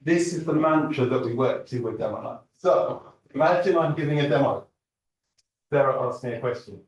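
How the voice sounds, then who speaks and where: A man's voice: medium pitch, moderate pace. Man, event host, upbeat and joking, roomy hall, heard from a distance. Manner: upbeat, joking, addresses the crowd. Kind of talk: event talk to a live audience. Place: large lecture hall stage.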